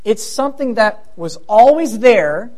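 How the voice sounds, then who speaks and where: A man's voice: high-pitched, expressive, measured pace, darkish tone. A middle-aged man preaches with a thin, light voice. He talks to his congregation in a chatty, self-deprecating way, wandering into asides before returning to the point. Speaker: pastor. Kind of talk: sermon.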